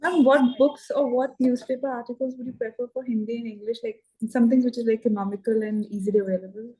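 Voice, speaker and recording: A woman with an Indian accent speaks as a parent in an online class. Her voice is slightly high, soft-edged and mellow, with a breathy husk. She asks for advice in casual, conversational speech. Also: fairly even intonation, fast talker, rough recording. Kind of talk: casual questions on a call. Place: online video call.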